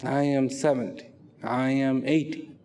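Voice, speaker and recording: A man giving a reflective public talk, slow and emphatic, swinging from loud declarations to hushed, almost whispered lines. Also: mid-range voice, fairly even intonation, slight background noise. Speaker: speaker. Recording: long hall reverb with faint music.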